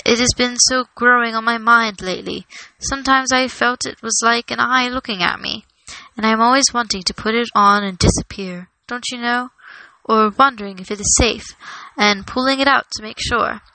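A woman's voice: fairly high pitch, fairly even intonation, moderate pace, sharp tone, no echo, poor audio. A woman voicing a stubborn, possessive elderly male hobbit in a storybook, defensive and hesitant. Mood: apologetic, troubled. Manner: hesitant, defensive, stammering under pressure. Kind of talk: storybook character reading.

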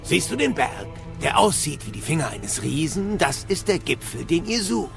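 Casting: ancient wise captive, swooping delivery, creaky edge, cheeky and eloquent, fantasy audio drama. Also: male voice, on the high side, very animated, moderate pace, soft background music. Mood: boastful, explanatory. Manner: eloquent, sardonic. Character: cheeky, boastful wise male captive, ancient know-it-all.

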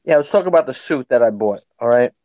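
A man's voice: medium pitch, animated, rapid-fire, mellow, no echo. A man telling stories as a guest on a casual chat stream, rambling and restarting. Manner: rambling, self-interrupting.

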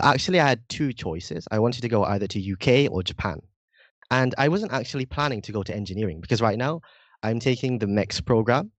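A man's voice: fairly low pitch, no room sound. A young man from Indonesia with a slightly British-sounding accent, explaining things earnestly as a podcast guest, often adding "like" and "right?". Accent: slightly British-sounding English. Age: young adult. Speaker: podcast guest, university student. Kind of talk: casual interview chat.

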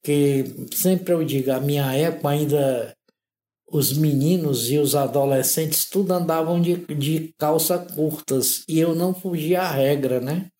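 A man, an interview guest telling his life story in a measured, thoughtful way. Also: a little high, warm tone. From Ceará, Northeast Brazil. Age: 60s. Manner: measured, thoughtful, with frequent "né?" tags. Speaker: interview guest, artist and modeler. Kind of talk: unhurried reflective storytelling. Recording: roomy echo.

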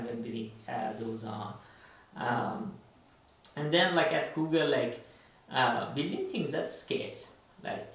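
Gravelly man in an echoey room. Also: medium pitch. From Iran. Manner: hesitant, many fillers and restarts. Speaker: podcast co-host. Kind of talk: casual podcast conversation. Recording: very echoey room, distant mic.

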